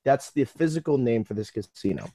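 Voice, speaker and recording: An American man co-hosting a casual live fan podcast, talking in an excitable, joking way. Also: medium pitch, fairly quick, slightly rough, no echo. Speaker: podcast co-host.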